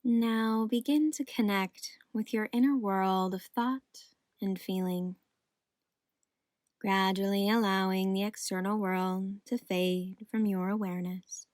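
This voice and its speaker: A woman guiding a meditation in a soothing, gentle, unhurried manner. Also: mid-range voice, fairly even intonation, no room sound, clean studio sound. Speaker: meditation guide. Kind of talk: guided meditation narration.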